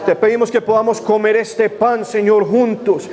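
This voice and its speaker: A man's voice: high-pitched, flat delivery, normal speed, a little mellow. Fervent Spanish-speaking male preacher. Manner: fervent praying, repeating "Señor" and "Padre"; conversational storytelling with restarts. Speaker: pastor. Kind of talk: sermon with opening prayer and testimony. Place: church.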